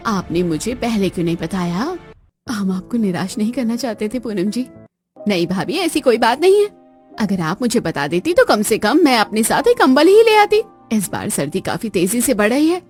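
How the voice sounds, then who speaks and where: A woman voicing a caring young married woman in an animated story, earnest and concerned. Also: medium pitch, moderate pace, soft background music, close to an everyday voice.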